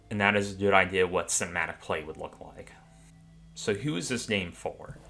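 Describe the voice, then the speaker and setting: A man giving a scripted review narration as a tabletop game reviewer, with a measured, explanatory delivery. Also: low-pitched, fairly bright tone. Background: background music.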